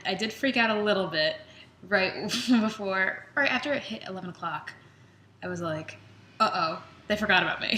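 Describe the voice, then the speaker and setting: A young adult woman vlogging in a casual, chatty way, candidly retelling her own experience and acting out her own thoughts. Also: medium pitch. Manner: chatty, candid, animated retelling with quoted self-talk. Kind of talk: casual chat to camera. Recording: roomy echo, faint background noise.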